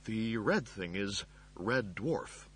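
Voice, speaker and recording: A man's voice: fairly low pitch, animated, takes it slowly, a bit bright, slightly rough, heavily acted. A man voicing a meek, apologetic servant robot in an audiobook, deferential and hesitant. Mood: nervous, halting.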